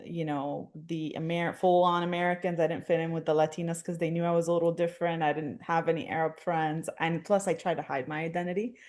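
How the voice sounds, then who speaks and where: A woman's voice: deep voice, a little mellow. A young adult woman from Syria, a therapist, talking in a reflective, casual way with many fillers and restarts. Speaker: therapist, dance instructor guest. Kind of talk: casual interview conversation.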